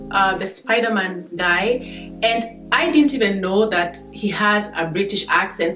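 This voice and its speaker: A woman's voice: mid-range voice, fairly bright tone. A woman from Kenya in her thirties with a Kenyan accent, chatting to camera in a casual, filler-heavy way, laughing easily. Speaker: vlogger. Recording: echoey room with faint guitar music.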